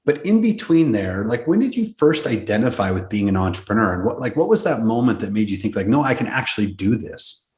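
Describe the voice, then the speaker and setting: A man's voice: fairly low pitch, very animated, brisk, darkish tone. A man hosting an interview, asking probing questions in an encouraging way. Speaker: interview host. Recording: echoey room.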